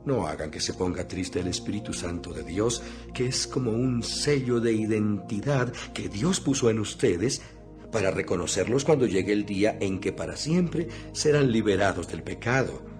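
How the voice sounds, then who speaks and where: A man's voice: fairly low pitch, lively intonation, moderate pace. A man giving an earnest, instructive dramatized reading of scripture as an audio Bible narrator. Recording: music behind the voice. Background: background music.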